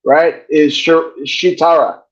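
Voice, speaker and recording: A man's voice: a little high, fairly even intonation, takes it slowly. A man hosting a podcast in casual chat, profane and rambling, joking as he goes. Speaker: podcast host. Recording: dull lo-fi sound.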